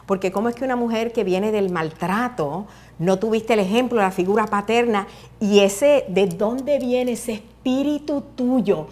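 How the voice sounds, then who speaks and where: Woman talk show host, mid pitch voice, easygoing questions, lots of little uh-huhs. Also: measured pace. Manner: warm and encouraging, with back-channel responses. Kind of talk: casual interview. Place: bright living room with a sofa and water view.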